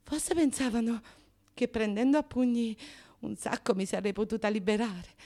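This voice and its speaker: High-voiced, melodramatic Neapolitan woman, played by an actress. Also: fairly even intonation, normal speed, warm tone, natural voice. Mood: confiding, rueful. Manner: confessional and histrionic, swinging between murmurs and outcries. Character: lovelorn, neurotic, melodramatic Neapolitan woman daydreaming of romance. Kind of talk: theatrical radio drama monologue.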